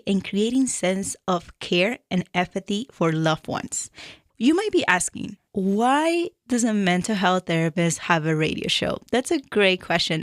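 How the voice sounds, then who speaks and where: A woman from Peru with a Peruvian Spanish accent, a therapist hosting a radio show and reading a prepared introduction in a measured, earnest way. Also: medium pitch, dry recording, clean studio sound. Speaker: radio show host and mental health therapist.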